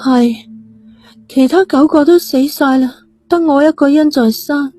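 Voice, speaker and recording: Woman acting a frail young woman survivor, pitched up. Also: a little slow, warm tone, soft background music. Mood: weak, flustered. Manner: weak, flustered.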